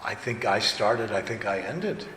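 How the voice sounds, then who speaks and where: A man's voice: on the low side, expressive, a little slow. A man speaking as a director on a casual convention panel, rambling along with trailing asides. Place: convention panel room, indoors. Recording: echoey hall, noisy room ambience.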